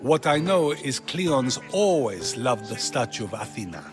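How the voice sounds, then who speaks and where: An actor playing an earnest, sly Greek philosopher in a video game, speaking in measured rhetorical questions. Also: male voice, medium pitch, very animated, over music. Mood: conspiratorial, assured. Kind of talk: video game dialogue acting.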